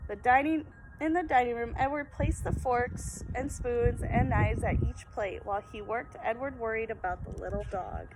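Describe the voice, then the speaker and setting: A woman reading a children's picture book aloud with an expressive, dramatic storytelling delivery. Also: normal speed. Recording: very noisy, rumbling background.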